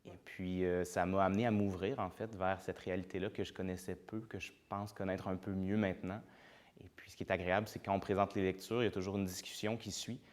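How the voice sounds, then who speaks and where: Guy, really low voice, thinks out loud with lots of euh. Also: flat delivery, fast. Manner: hesitant, reflective, many fillers. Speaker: playwright. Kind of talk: interview.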